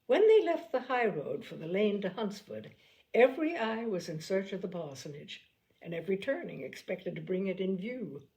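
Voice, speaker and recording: A woman reading a classic novel aloud in a measured storytelling manner, now and then correcting a slipped word. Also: mid-range voice, sing-song, darkish tone, rough recording. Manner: measured storytelling, occasional slips and self-corrections. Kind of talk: audiobook narration.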